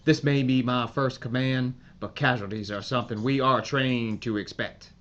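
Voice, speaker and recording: An actor playing a hard, determined American army major giving clipped orders in a World War II audio drama. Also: male voice, medium pitch, fairly even intonation, normal speed, a bit bright, highs cut off, lightly acted. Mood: defiant, determined. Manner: commanding, clipped military radio orders.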